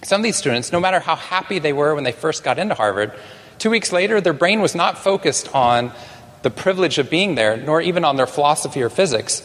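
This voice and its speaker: A man from Texas speaks from a conference stage with a somewhat high voice. He is animated and uses dry, self-deprecating humor. His voice carries a long reverb through the big hall. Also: a little fast. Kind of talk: keynote talk to a live audience.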